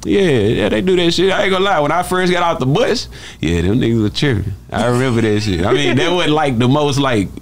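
A young man from Dallas, guest rapper in a casual, slangy podcast-studio interview, speaking into a studio boom mic. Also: animated, brisk. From Dallas, Texas. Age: young adult. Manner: slangy, laid-back, swears freely. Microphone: studio mic on boom arm.

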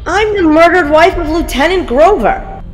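An actress playing the sarcastic, defiant ghost of a murdered officer's wife in an audio drama. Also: female voice, very high-pitched, expressive, normal speed. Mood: ominous. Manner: sassy, catty. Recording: long ghostly reverb, music underneath.

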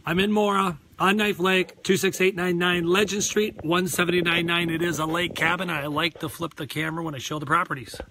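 A hoarse man in his forties with a Minnesotan accent, a real estate agent giving a rambling, earnest pep talk on a live tour, filmed outdoors by a lake on a phone held at arm's length. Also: sits high, fairly even intonation, brisk, hardly any pauses, pushed and bright, slight background noise. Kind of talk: live property tour vlog. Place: outdoors on a lakeside deck.

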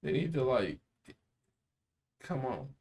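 A man's voice: medium pitch, very animated, slow, darkish tone, slightly rough. A young American man chatting casually and in slang as co-host of a reaction video. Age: young adult. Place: small indoor room.

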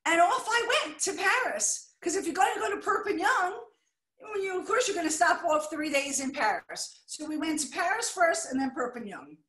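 Older woman lecturing over a video call, high voice, chatty and excitable, echoey room. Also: moderate pace. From United States. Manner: chatty, excitable, exclaims and apologizes for slides. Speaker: art deco lecturer.

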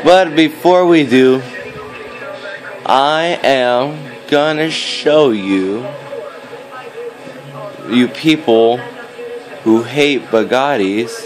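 Slow, rambling teenage boy gamer. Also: medium pitch, low-quality recording. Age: teenager, fourteen. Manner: hesitant, rambling. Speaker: gaming video maker. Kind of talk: casual gameplay commentary. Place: indoors, filming a game on a screen. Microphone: handheld phone. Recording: phone recording with game music behind. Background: game music.

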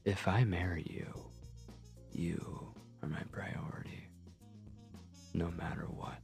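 A man's voice: deep voice, steady tone, slow pace, gravelly, a little mellow, faint music behind, heavily acted. A man playing a shy, obsessive lovestruck man on a date in an ASMR roleplay, speaking in a hesitant, intimate, flustered way. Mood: intense, devoted.

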